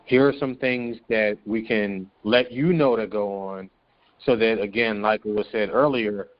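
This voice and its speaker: Man, podcast guest, somewhat low pitch, explanatory conversational talk, slightly muffled audio. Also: steady tone, measured pace. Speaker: podcast guest from a stage play production. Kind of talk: conversational panel discussion.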